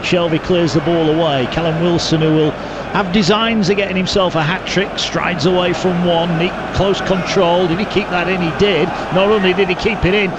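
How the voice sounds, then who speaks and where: A man calling live football on radio, brisk play-by-play that rises with the action. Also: high-pitched. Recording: broadcast with loud stadium noise behind.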